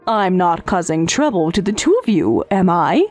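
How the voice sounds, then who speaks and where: A woman's voice: fairly low pitch, big pitch swings, moderate pace, a little mellow, faint music behind. A woman playing a caring, observant working mother in an anime-style romantic comedy dub. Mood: concerned.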